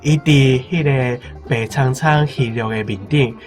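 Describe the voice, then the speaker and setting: A man's voice: mid-range voice, measured pace, loud music behind the voice. A young man with a Taiwanese accent, a student contestant working through a translation aloud. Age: young adult. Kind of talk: attempting an oral translation.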